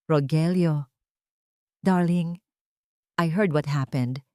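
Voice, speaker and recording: Audio story villainess, played by a female narrator: rich young woman, sugary fake concern, lowish dark tone, Taglish. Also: slow, clean tone, no room sound, studio quality. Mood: feigned sweet concern. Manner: sweet, pleading, mixing English and Tagalog.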